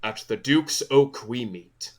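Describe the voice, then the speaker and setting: A man's voice: medium pitch, takes it slowly, close to an everyday voice. A man playing a fussy, coaxing workman who directs amateur players, earnest and persuasive, in a comic Shakespearean audio play.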